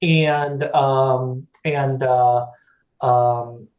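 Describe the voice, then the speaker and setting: A man's voice: medium pitch, steady tone, very slow, reverberant, narrow, tinny audio. A man in his fifties from California, a podcast guest chatting casually, rambling with many restarts and fillers and laughing easily. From United States (California). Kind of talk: casual chat.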